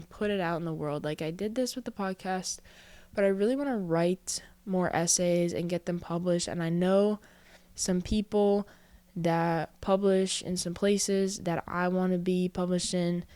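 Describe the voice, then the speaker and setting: Chatty young woman with a smooth voice. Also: fairly low pitch, darkish tone, dry recording. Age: early 20s. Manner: chatty, self-reflective, full of "like" fillers. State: a little out of breath. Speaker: podcast host. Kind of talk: casual solo podcast monologue.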